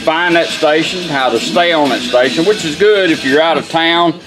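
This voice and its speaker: A man's voice: on the high side, moderate pace, low-quality recording. A man giving an informal, step-by-step hands-on product demonstration in a plain-spoken way. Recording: music playing from car radio behind. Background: music from the radio being demonstrated.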